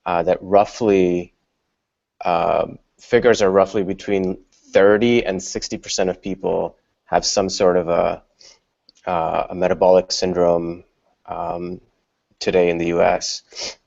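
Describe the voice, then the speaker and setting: A man speaking as a guest on an online panel, measured and hesitant with many fillers. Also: sits low, flat delivery, vocal fry, a little mellow. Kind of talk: casual panel discussion. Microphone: video call. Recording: slightly muffled video-call audio.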